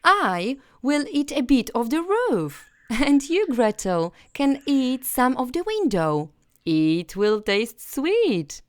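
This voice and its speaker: A woman's voice: sits high, very animated, slow pace, dry recording, voice pushed up, heavily acted. A woman voicing an eager, hungry young boy from a storybook fairy tale.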